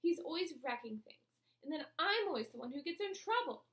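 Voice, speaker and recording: Woman acting indignant little schoolgirl, high lively pitch, exclaiming and complaining, storybook read-aloud. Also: a little fast, reverberant. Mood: aggrieved. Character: excitable, indignant young schoolgirl blaming her brother.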